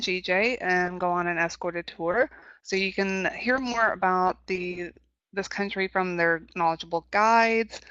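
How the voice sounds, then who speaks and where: A woman's voice: lowish voice, bright, forceful tone, no echo. A woman hosting an informal webinar with polite customer-service phrasing. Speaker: webinar host. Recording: muffled online webinar audio.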